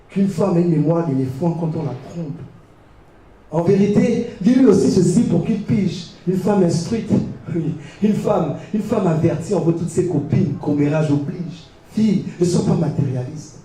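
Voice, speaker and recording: A man from Gabon reciting slam poetry on stage in a declamatory, dramatic way with deliberate pauses. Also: high-pitched, warm tone. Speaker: slam poet. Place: live poetry competition venue. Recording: distant mic, very echoey venue.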